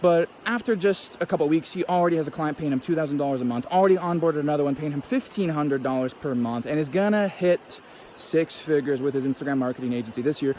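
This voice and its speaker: A man's voice: on the high side, fast, a little mellow, echoey room, slight background noise. A man hosting an online interview in a casual, upbeat and joking manner. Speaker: host.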